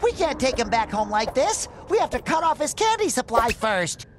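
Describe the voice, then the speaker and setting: A man voicing a cheerful, naive young cartoon sea sponge in a video game, nasal and squeaky with bubbly, childlike eagerness. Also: sing-song, normal speed, a little hiss or hum. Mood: earnest.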